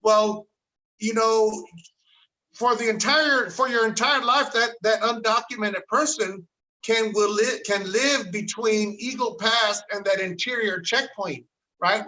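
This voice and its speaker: A middle-aged man with a notably high, bright voice tells a rambling story as a podcast guest. He drops Spanish words into his English and trails off before he circles back. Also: rough recording. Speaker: podcast guest, bar owner. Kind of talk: casual podcast conversation.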